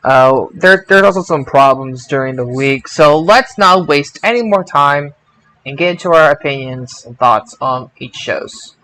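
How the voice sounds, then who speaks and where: A man with a slightly high voice hosts a casual podcast discussion. His delivery is hesitant and halting, often doubling back on words. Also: pushed and bright, poor audio. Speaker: podcast host. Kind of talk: hosting a casual group wrestling discussion.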